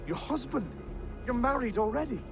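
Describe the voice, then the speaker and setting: A man's voice: very high voice, animated, normal speed, over music. An actor playing an earnest, principled young king in a video game, speaking formally. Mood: troubled. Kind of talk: dramatic video game acting.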